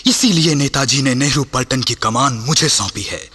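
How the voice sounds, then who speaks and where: An actor playing a proud, loyal Sikh army officer in a Hindi radio play, declaiming with passion. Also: male voice, medium pitch, moderate pace, bright, forceful tone, slightly rough, in a large hall. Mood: defiant. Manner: impassioned, declamatory, rhetorical questions.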